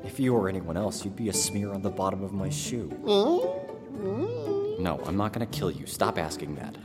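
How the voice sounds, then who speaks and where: A man's voice: sits low, moderate pace, natural voice. An actor playing a young male wizard apprentice, exasperated and sarcastic, in a fantasy audio drama. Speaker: Udo. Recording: long reverb with music behind.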